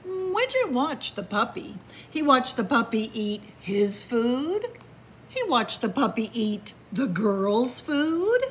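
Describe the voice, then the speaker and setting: A woman reading a picture book aloud to children in a library, with slow, expressive storytelling, hushed whispers and excited rises. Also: fairly high pitch, a little hiss or hum. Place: library in front of bookshelves. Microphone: camera facing the book.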